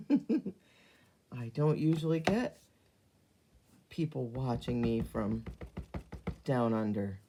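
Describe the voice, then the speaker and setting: A hoarse middle-aged woman chatting casually through a live card-making demonstration, filling pauses with ums and greeting viewers as they join. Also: rumbling low, lively intonation, background noise.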